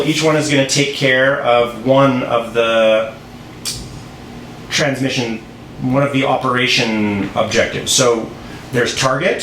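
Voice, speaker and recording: Guy doing a casual board game tutorial, takes his time, pauses mid sentence, roomy echo w a bit of noise behind. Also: mid-range voice, a bit bright. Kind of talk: casual instructional walkthrough.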